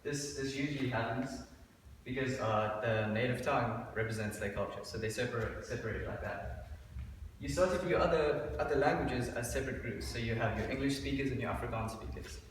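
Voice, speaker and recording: A man's voice: medium pitch, a little fast, distant mic, slight background noise. Young man presenting in an echoey room. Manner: hesitant, with many fillers and restarts. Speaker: student presenter. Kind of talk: class presentation. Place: dim lecture room beside a projector. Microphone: far room mic.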